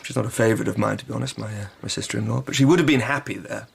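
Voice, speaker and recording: A man acting a self-absorbed, dispirited, educated writer in a period radio drama, speaking in a hesitant, musing way with a gravelly voice. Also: fairly low pitch, rapid-fire, full character voice. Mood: brooding, confiding.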